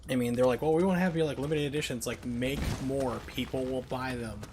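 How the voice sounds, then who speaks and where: A man's voice: mid-range voice, steady tone, brisk. Opinionated male game streamer over noisy background. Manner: opinionated, emphatic. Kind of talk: casual live-stream commentary while playing.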